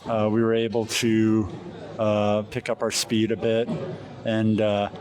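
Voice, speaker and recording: An American man in a casual interview as a pilot, recounting things in a halting way with frequent pauses mid-phrase. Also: on the low side, monotone. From Alaska, USA. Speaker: competition glider pilot being interviewed. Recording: crowd chatter in the background.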